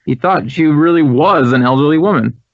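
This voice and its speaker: A man's voice: on the high side, brisk, no echo, natural voice. An American man playing an earnest, talkative drama school head in a radio drama, speaking with emphatic, reflective phrasing. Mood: puzzled. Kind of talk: 1950s radio drama dialogue. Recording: dry, dull vintage radio recording.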